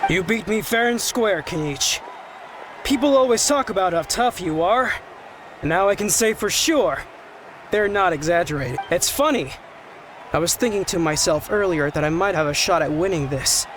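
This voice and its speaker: A man voicing an easygoing, modest young fighter in a video game, relaxed and good-natured. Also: sits high, normal speed, slight background noise. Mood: gracious.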